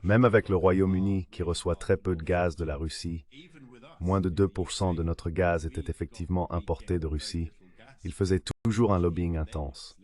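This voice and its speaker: A man with a very low voice delivers a translated voice-over of political commentary. His delivery is measured and read out, like a dubbed interview answer. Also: fairly even intonation, darkish tone.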